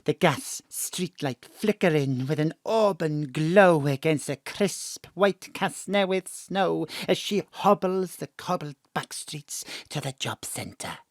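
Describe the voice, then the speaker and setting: An actor playing an elderly, cheeky South Wales mam in a comic audio drama, theatrical and full of exclamations. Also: male voice, a little high, animated, slow pace, hardly any pauses, no echo, clean studio sound. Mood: storytelling.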